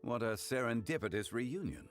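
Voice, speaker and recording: A man playing a composed, courteous, learned gentleman of great age in a video game, speaking in formal, measured, eloquent phrases. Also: mid-range voice, expressive, natural voice. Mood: courteous, serene. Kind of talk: English video game voice acting. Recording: music behind the voice.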